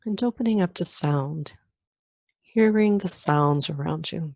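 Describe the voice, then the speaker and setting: A woman guiding a meditation as a teacher, giving calm, gentle instructions with long pauses between phrases. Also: low voice, dry recording. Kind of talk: guided meditation.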